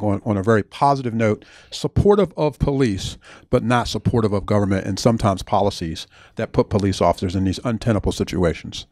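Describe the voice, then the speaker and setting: A man's voice: medium pitch, a little mellow, no echo, studio quality. A middle-aged man speaking earnestly at a book event as the author, explaining his points with asides and restarts. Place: bookstore event space.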